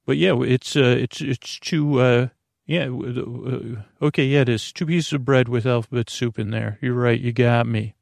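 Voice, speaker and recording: A man hosting a bedtime podcast in a rambling monologue, halting and interrupting himself, with sentences that wander and trail off, in a gravelly voice. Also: medium pitch, fairly even intonation, a little mellow, no echo, studio quality. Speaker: podcast host.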